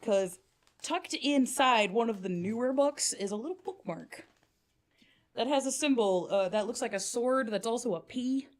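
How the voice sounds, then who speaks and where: A woman's voice: on the high side, lively intonation, moderate pace. A woman narrating as game master in a casual, profane way, full of filler words.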